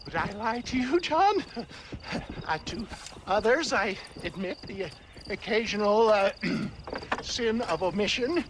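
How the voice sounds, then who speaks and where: A man's voice: high-pitched, expressive, takes it slowly. An actor voicing a theatrical, slippery snake oil salesman in a video game, florid and wheedling with stammered evasions. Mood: boastful, wheedling. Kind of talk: video game cutscene acting. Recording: faint outdoor background noise.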